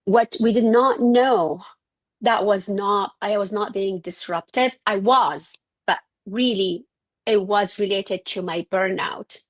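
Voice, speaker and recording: Arabic-accented woman, middle-aged, physician guest, earnest storytelling, mid pitch, many restarts. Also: takes it slowly. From Syria. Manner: earnest, with frequent restarts and self-corrections. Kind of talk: personal storytelling interview.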